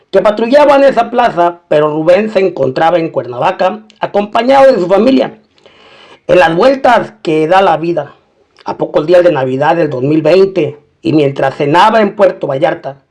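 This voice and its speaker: A man's voice: high-pitched, a little mellow. A man narrating a scripted documentary-style crime story, mixing in colloquial slang as he tells it.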